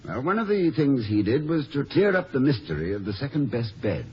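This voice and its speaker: Genial, animated, scholarly college president. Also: male voice, medium pitch, brisk, slightly rough. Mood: eager. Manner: hesitant, professorial. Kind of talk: 1950s radio comedy acting. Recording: dull, hissy vintage radio recording.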